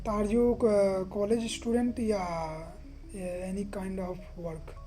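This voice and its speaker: Mellow-voiced Indian woman host. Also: medium pitch, flat delivery, slow, faint music behind. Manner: asks short questions, acknowledges with brief okays. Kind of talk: casual language-practice chat.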